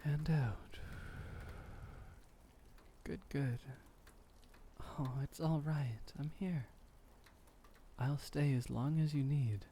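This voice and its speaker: A man playing a gentle, protective vampire boyfriend in an ASMR roleplay, speaking softly and soothingly in old-fashioned formal phrases. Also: on the high side, extremely slow, pauses often, a little hiss or hum. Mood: calm, reassuring. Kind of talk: ASMR roleplay, intimate comforting monologue.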